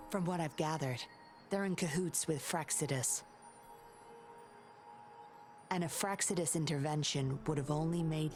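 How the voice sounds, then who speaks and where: Cool sly undercover cop lady from a game, smooth n measured, loud-ish music under it. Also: low-pitched. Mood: sly, confident. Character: composed, sly undercover patroller woman, coolly confident. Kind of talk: scripted video game voice acting.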